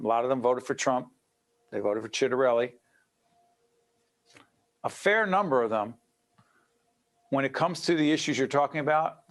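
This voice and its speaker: A man from Newark, New Jersey, hosting an interview in an animated way, cutting in and firing off rapid questions. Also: mid-range voice. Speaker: interview host. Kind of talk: conversational interview.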